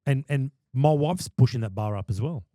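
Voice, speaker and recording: A man's voice: mid-range voice, expressive, normal speed, warm tone, dry recording, studio quality. A man, a wedding MC and podcast guest, talking passionately and emphatically, often repeating words as he starts a thought. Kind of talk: casual podcast chat.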